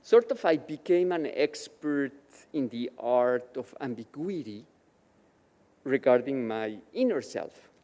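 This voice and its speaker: A man from Mexico whose first language is Yiddish, telling his personal story slowly and with feeling as a guest speaker at a Shabbat service in a synagogue chapel. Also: mid-range voice, animated, mellow, big-room sound. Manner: slow, measured, emotional storytelling. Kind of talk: personal testimony speech.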